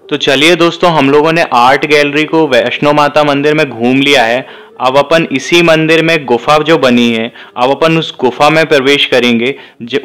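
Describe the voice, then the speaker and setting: A man in casual vlog mode, guiding his audience through a temple site and explaining each stop. His voice is smooth and clear. It sits at an ordinary middle pitch. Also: a bit bright. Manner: addresses viewers as friends, guiding a temple tour. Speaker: travel vlogger. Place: temple complex.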